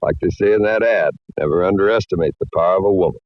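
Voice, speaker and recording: A man's voice: low-pitched, quick pace, no room sound, close to an everyday voice. A man playing an easygoing, folksy singing cowboy with a modest, drawling manner in a 1940s radio drama. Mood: rueful. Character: easygoing, folksy male singing cowpoke with a sly side. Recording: muffled old radio recording.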